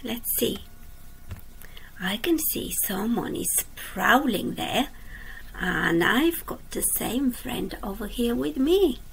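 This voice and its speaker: A woman teacher reading a children's picture book aloud, asking the young listeners questions and dropping to a soft whisper at the ends of lines. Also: a little high, expressive, gravelly, slight background noise, low-quality recording. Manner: slow, gentle, drops to a soft whisper at line ends. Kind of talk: children's storytime read-aloud. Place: indoors at a computer.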